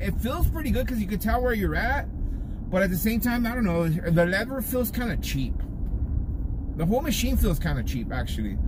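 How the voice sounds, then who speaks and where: A middle-aged barber vlogger talking casually from his car, rambling and restarting his sentences often. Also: high voice. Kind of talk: casual vlog talk. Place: parked car. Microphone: phone camera on the dashboard. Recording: noisy car interior.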